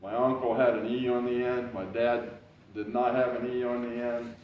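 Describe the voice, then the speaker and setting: A man giving a casual library talk as a historical reenactor, with a loud voice and a loose, rambling way of telling, full of "uh"s and restarts. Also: mid-range voice, flat delivery, moderate pace, a little hiss or hum. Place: library meeting room. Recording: very echoey, distant, dull room recording.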